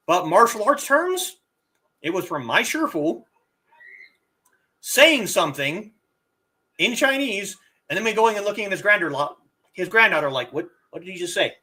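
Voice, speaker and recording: A middle-aged martial arts teacher chatting casually on a live stream, reading viewer comments and sounding out words with animated emphasis. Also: mid-range voice. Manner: animated, drawn-out demonstrations of word sounds.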